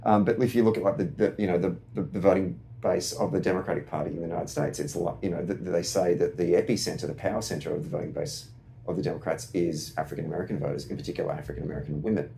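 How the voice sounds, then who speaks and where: A man's voice: low voice, steady tone, rapid-fire, gravelly, dark tone, slight background noise, low-quality recording. A man from Australia, a community organiser, asking questions in a searching way with many fillers and restarts. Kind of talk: casual discussion.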